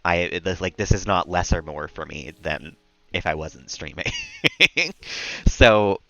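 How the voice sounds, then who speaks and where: A man chatting casually and calmly as he streams a video game, rambling a little as he plays. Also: deep voice, measured pace, creaky, no echo, dull audio. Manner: calm, relaxed, rambling. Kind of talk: casual chat while gaming.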